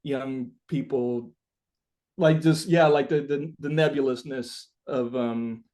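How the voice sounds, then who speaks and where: Man, medium pitch, casual interview talk, halting, reflective musician searching for words. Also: fairly even intonation, a little mellow.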